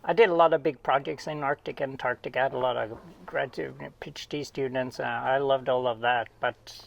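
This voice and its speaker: Middle-aged man, Swedish accent, gravelly fry, rambling self-deprecating chat, small cabin. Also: medium pitch, fairly even intonation, a little fast, muffled recording. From Sweden. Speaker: guest, oceanographer. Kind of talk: casual interview chat.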